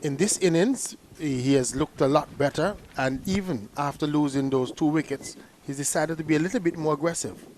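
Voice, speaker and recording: A man with a slightly raised pitch calls a cricket match live, chatty and loose, stumbling into repeats like "they, they" as he goes. His talk flows like relaxed booth commentary. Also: fairly quick, a little hiss or hum. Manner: chatty, often repeats words when restarting.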